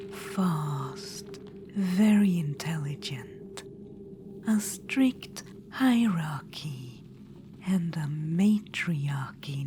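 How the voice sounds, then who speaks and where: A woman voicing an ancient, vain and scheming undead witch-queen in a whispered ASMR roleplay. She whispers softly and unhurriedly, sounding condescending and calling the listener darling. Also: lowish voice, slight background noise.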